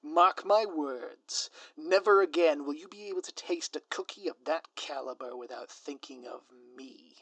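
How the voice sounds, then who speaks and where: A man's voice: a little high, gravelly, fairly bright tone, no echo, heavily acted, rough recording. Man acting out a pompous, theatrical scheming supervillain. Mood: ominous, gloating. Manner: pompous, florid, drawn-out dramatic delivery. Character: theatrical scheming male supervillain, gloating, menacing, easily flustered. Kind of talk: ASMR audio roleplay, scripted villain monologue.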